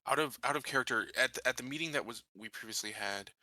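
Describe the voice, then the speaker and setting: A man's voice: medium pitch, fast, pushed and bright, no echo. A man who plays a tabletop game, asking casual out-of-character questions.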